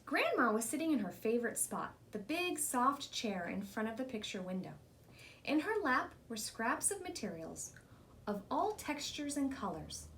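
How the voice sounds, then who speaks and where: Female storybook reader: expressive, slightly high voice, animated delivery, faint background noise. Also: moderate pace. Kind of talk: expressive children's read-aloud narration.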